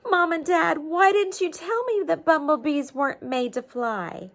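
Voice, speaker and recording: A woman's voice: high voice, a little slow, voice pushed up. A woman voicing an earnest, easily discouraged young male bumblebee in a children's storybook, with plaintive exclamations.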